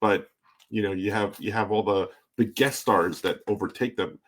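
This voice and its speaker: A man's voice: lowish voice, normal speed. A man co-hosting a casual podcast, riffing with loose, jokey remarks and many filler words. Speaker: podcast co-host.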